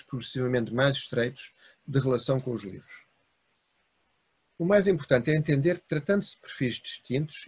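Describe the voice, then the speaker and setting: A male academic with a European Portuguese accent delivers a prepared lecture over a webcam from a room lined with bookshelves. His voice is husky and sits at a middle pitch. He speaks in a measured way and breaks his points into short, listed phrases. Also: a little mellow. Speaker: university lecturer. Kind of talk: academic lecture read from notes. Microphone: video call webcam.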